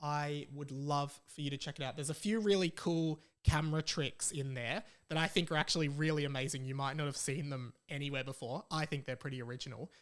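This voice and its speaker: A man's voice: on the high side, fairly even intonation, fairly quick, hardly any pauses, fairly bright tone, smooth. A young adult man with an Australian accent, chatting casually as a solo podcast host in an informal, self-deprecating way.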